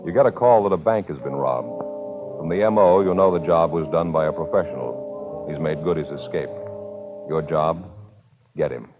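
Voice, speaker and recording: A man's voice: a bass voice, moderate pace. An American man announcing in a formal, scripted 1950s radio style, on an old recording. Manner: formal, declarative. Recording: tinny old radio recording, faint music.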